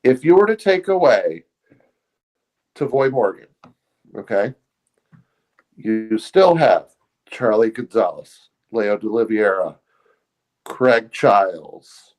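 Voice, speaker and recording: A man co-hosting a casual sports podcast over a remote call, laid-back and halting, with many pauses and fillers. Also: fairly low pitch, animated, darkish tone, low-quality recording. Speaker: podcast co-host.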